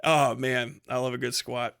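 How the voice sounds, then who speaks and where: A man chatting casually on a livestream in an animated, enthusiastic, rambling way, swearing freely. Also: medium pitch, normal speed, gravelly, clear, resonant tone. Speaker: live streamer.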